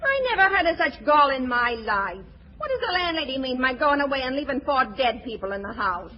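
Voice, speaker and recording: Brassy American mob wife, high voice, animated, bossy, slangy, dull old radio recording. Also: female voice, brisk. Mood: indignant. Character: brassy, bossy gangster's wife putting on refinement. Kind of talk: 1940s radio comedy acting.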